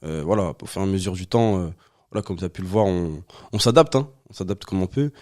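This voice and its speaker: Male guest, 20s, from Algeria; rapid, low-pitched, casual delivery with frequent fillers. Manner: relaxed, many fillers and restarts. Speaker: podcast guest. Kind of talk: casual conversation.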